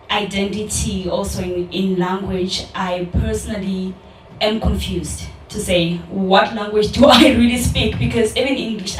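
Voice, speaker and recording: A young woman from South Africa speaking candidly and earnestly at a community discussion, pausing with fillers. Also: mid-range voice. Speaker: audience member in a community dialogue. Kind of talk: personal public discussion. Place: event hall with audience. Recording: roomy, distant mic in a hall.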